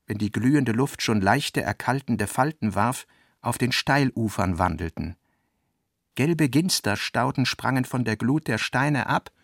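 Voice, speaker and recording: An actor playing the reflective narrator of a modernist novel in a radio play, with measured, deliberate phrasing. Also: male voice, mid-range voice, no room sound, studio quality.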